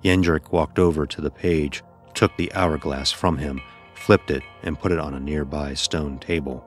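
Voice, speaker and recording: A man narrating a scripted first-person horror story in a grave, deliberate storytelling manner. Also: rumbling low, fairly even intonation, soft background music.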